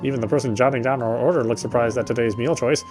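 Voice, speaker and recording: Male game streamer, medium pitch, reading story text aloud, casual, occasional stumbles, light background music. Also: steady tone, fast talker.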